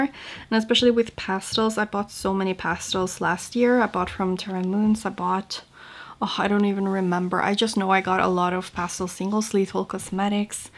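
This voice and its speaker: A young woman from Norway with a Norwegian accent, chatting casually and informally to camera as a beauty vlogger. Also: mid-range voice. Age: young adult. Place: small home room indoors.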